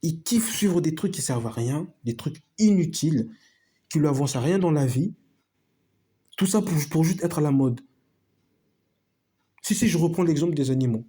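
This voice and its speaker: A man speaks straight to his audience in a loose, opinionated monologue and keeps circling back to the same points. His voice sits a little high and sounds mellow and husky. He leans on slang and keeps calling his viewers friends. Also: lively intonation, normal speed, pauses often. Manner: emphatic, repetitive, slangy, addresses viewers as friends. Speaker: video host. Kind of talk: casual opinion monologue to camera.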